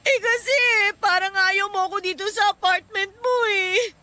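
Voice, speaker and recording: A woman's voice: extremely high pitch, moderate pace, pushed and bright. A woman playing a distressed, pleading young girlfriend in an emotional Filipino radio drama. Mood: tearful, hurt.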